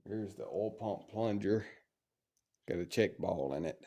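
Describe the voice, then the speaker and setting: A man's voice: low voice, a little slow, creaky, dark tone. A man with a stuffy voice from a cold, talking plainly and casually while he restores an old engine in a cold workshop. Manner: plain-spoken, talks while working. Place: cold workshop heated by a stove.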